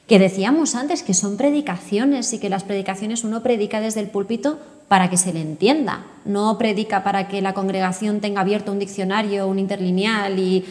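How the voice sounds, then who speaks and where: A woman's voice: mid-range voice, steady tone, a little fast, few pauses, darkish tone. A woman in her thirties hosting an interview, talking in an explanatory, articulate way. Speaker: podcast host, interviewer. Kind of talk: conversational interview. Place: indoor book-lined room. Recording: reverberant indoor room.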